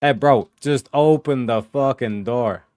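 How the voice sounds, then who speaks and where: A man's voice: mid-range voice, a little slow, a little mellow, no echo, studio quality. A man streaming a game, chatting casually and joking with his viewers, swearing a lot. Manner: profane, joking, talks to chat while playing. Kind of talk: casual gaming livestream chat.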